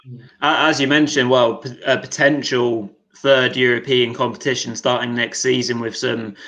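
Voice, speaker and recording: A man's voice: medium pitch, little pitch movement, normal speed, sharp tone, poor audio. A man co-hosting a football podcast, talking casually in a rambling way with many fillers and restarts. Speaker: podcast co-host.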